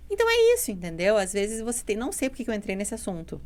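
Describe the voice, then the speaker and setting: A woman's voice: mid-range voice, wildly swooping pitch, rapid-fire. A woman chatting on a podcast as a guest, telling stories bluntly and emphatically, often acting out dialogue and repeating herself. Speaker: podcast guest, dental mentoring business partner. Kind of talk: casual podcast conversation, animated storytelling.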